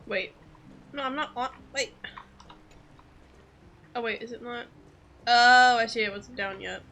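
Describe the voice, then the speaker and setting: A woman's voice: a little high, a little slow, sharp tone, slight background noise. A woman streaming a video game with casual, playful, reactive live commentary.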